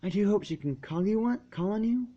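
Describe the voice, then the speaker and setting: A man voicing an ancient, kindly pony princess who speaks in a formal, measured and gently encouraging way, as in a storybook. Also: high voice, expressive, lo-fi sound, voice pushed up. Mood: gentle, friendly.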